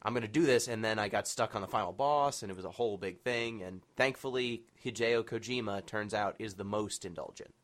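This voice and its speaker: A man's voice: on the low side, fairly quick, hardly any pauses, a bit bright. A man hosting a podcast, chatting casually with his guests in an interview. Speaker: podcast host.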